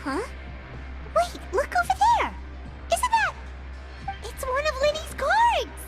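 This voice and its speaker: Game sidekick: excitable little fairy girl, squeaky and jumpy. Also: slow, heavily acted. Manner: bubbly, speaks of herself in third person. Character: tiny floating fairy companion girl, chatty and excitable. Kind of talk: game voice acting. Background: game music.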